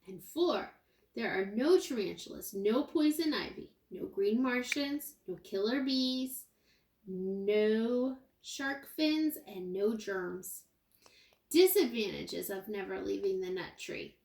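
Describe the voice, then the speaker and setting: A woman teacher reading a picture book aloud to young children, expressively, pausing for asides and questions to her listeners. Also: medium pitch, animated, vocal fry, a little mellow. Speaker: kindergarten teacher. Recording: roomy, echoey indoor sound.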